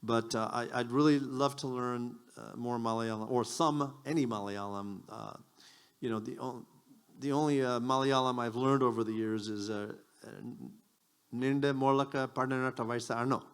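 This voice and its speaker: A man doing stand-up on a community event stage, talking into a handheld mic in a middle-pitched voice. He mocks himself and wanders through asides. His restarts and stammers come through clearly. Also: steady tone, moderate pace. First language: Japanese. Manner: self-deprecating, rambling asides with stammered restarts. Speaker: stand-up comedian. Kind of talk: stand-up comedy set.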